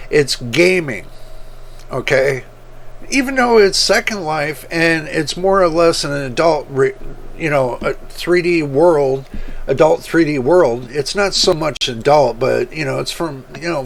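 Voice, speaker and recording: A man hosting his computer-building channel, talking casually and rambling, with a rough voice that repeats points as he goes. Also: fairly high pitch, slight background noise. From United States. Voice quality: rough, gravelly voice. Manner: slow, rambling, repeats himself. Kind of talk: casual talk to camera.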